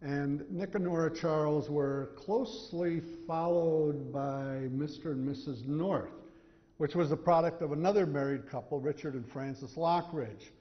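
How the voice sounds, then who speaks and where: Male American lecturer, slightly high voice, hesitant, dryly humorous, dull-sounding hall recording. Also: a little slow. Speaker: author giving convention talk. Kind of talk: informative lecture to an audience. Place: convention hall. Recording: slightly muffled hall recording, long reverb.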